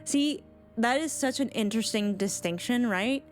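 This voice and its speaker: A woman with a fairly high voice talks casually as she streams a game. Her commentary is fond and gushing, with casual swearing. Soft music plays behind her. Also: moderate pace. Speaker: streamer reacting to game cutscenes.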